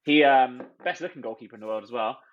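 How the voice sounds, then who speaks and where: A man guesting on a podcast, talking in excitable, self-mocking bursts. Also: medium pitch, fast talker, highs cut off. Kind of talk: casual chat.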